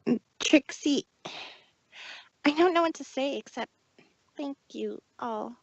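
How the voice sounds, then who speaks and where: A woman's voice: high voice, slow, gravelly, dry recording, exaggerated voice, low-quality recording. A woman playing a proud but wounded female pony magician who speaks haughtily of herself in the third person, in a fan fiction audio drama. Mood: touched, hesitant. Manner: haughty, self-referential third-person speech.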